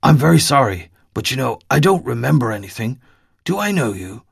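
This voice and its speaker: A wary grown man who has lost his memory , as a man reads him, speaks calmly and reassuringly. The lines move with lively rises and falls. The voice sounds dry and close in an audiobook reading. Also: mid-range voice, fairly quick, gravelly, husky, no room sound, heavily acted. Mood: gentle.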